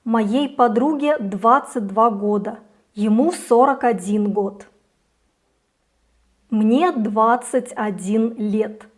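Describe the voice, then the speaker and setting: A young woman teaching a scripted grammar lesson, saying each example slowly and clearly. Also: a little high, darkish tone. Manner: slow, careful, clearly articulated examples. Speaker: Russian language teacher.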